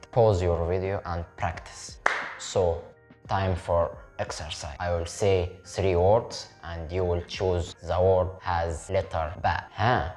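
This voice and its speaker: A man who teaches Arabic as a native speaker, leading a step-by-step beginner lesson in English and repeating each word slowly so the viewer can copy it. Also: deep voice, a little mellow. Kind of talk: slow step-by-step language lesson.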